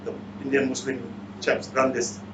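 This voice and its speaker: A man's voice: mid-range voice, monotone, moderate pace, fairly bright tone. Echoey Malaysian or Singaporean male seminar speaker. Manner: blunt, interactive, repeatedly challenges listeners with questions. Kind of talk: motivational seminar talk, quizzing the audience. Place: indoor seminar room. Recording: echoey room, distant mic, muffled, faint noise.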